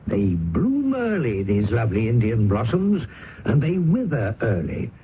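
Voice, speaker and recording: A man's voice: mid-range voice, huge pitch swings, measured pace, phone-quality sound, a little hiss or hum. An actor playing a worldly, dryly ironic male writer who also narrates a radio drama, on an old broadcast recording. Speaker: narrator and character. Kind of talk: 1970s radio drama acting.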